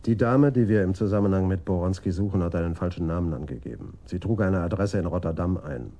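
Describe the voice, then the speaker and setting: An actor playing a gruff, world-weary police detective in a German radio crime drama, with a dry, blunt delivery. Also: male voice, deep voice, fast talker, warm tone, lightly acted. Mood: matter-of-fact.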